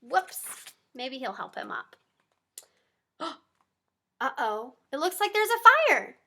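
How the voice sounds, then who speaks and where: A woman with a high, bright voice reads a storybook to young children. Her delivery is slow and very animated, with an encouraging tone as she speaks directly to her little listeners. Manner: animated, encouraging, asks listeners simple questions. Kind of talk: expressive read-aloud to young children.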